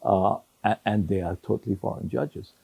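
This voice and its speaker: An older man whose native language is Sindhi, answering interview questions in a measured way with many pauses and restarts. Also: on the low side, vocal fry, dark tone, husky, no room sound. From Hong Kong. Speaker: retired judge, interview guest.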